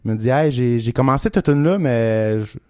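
A man's voice: medium pitch, clear, resonant tone, dry recording. Young québécois guy, laid-back, stops and restarts a lot, dry close mic. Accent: Québécois French. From Quebec. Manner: relaxed, halting, many restarts and fillers. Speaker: country singer guest. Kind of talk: casual radio interview.

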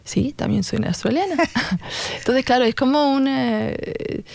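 Young woman, spain accent, radio guest, very gravelly, mellow tone. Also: high voice, measured pace, no room sound. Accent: Spanish from Spain. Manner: relaxed, agreeable, short replies. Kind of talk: casual radio interview.

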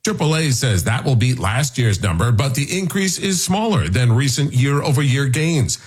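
Husky-voiced male news reporter. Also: mid-range voice, expressive, moderate pace.

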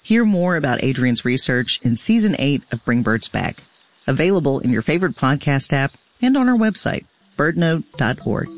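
A man's voice: medium pitch, big pitch swings, normal speed, poor audio. A man reading scripted narration as the host of a short radio nature program.

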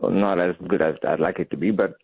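A man's voice: sits low, fairly even intonation, fairly quick, breathy edge, no echo. A man from Bangladesh calling in to a radio show, speaking earnestly over a phone line. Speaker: caller. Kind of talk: phone-in call.

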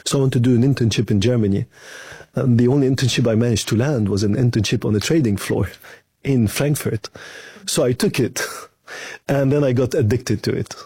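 Middle-aged executive from Lebanon, measured interview guest; dark, husky, dry close sound. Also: male voice, medium pitch, no echo. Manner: measured, thoughtful, with fillers. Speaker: bank chief executive, guest. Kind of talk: interview answers.

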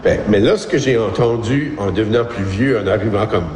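Casting: man, fifties, Acadian accent, reflective and halting, slightly deep voice, very reverberant room. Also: darkish tone. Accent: Acadian French of Prince Edward Island. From Evangeline region, Prince Edward Island, Canada. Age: 50s. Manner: reflective, halting, rephrases as he goes. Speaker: school board employee, former principal. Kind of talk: informal speech at a community discussion. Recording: echoey hall, faint background noise.

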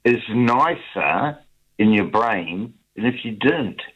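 A man's voice: lowish voice, expressive, slow, fairly bright tone, slightly rough. A man chatting casually as a caller to a radio phone-in, on lo-fi call audio. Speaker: talkback caller.

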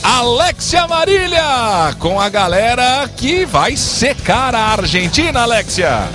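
Lively joking sports anchor, high voice. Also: male voice, takes it slowly, music in the background. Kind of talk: live broadcast banter.

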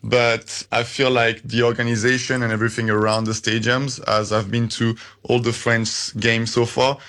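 A man's voice: mid-range voice, little pitch movement, normal speed, fairly bright tone. A man talking casually as a football supporter and guest in a live interview.

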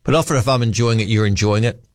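A man's voice: on the low side, fast, no room sound. A man with a New Zealand accent hosting a late-night talk radio show, chatty and wry, musing aloud. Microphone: studio microphone.

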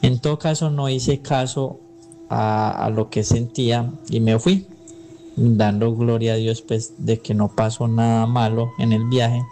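A man's voice: medium pitch, little pitch movement, unhurried, dark tone, slight background noise. A man with a Colombian accent, calling a radio show and speaking in a casual, personal storytelling way. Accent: Colombian Spanish.

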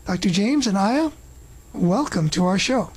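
Female host, slow and husky, earnest measured delivery. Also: medium pitch, animated, a little mellow, low-quality recording. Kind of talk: scripted intro and interview questions.

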